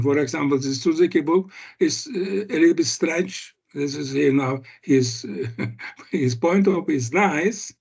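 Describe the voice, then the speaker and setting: An older man giving an academic seminar on a video call, speaking haltingly with many fillers and restarts. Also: fairly high pitch, creaky, mellow, husky. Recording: muffled video call audio.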